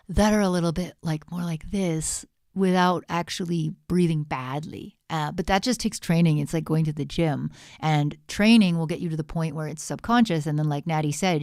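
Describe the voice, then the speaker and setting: A low-pitched woman giving candid, encouraging feedback in a casual chat. Her voice is recorded close and dry, and her wording is loose and slangy, as a music producer talking to friends. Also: darkish tone, no echo.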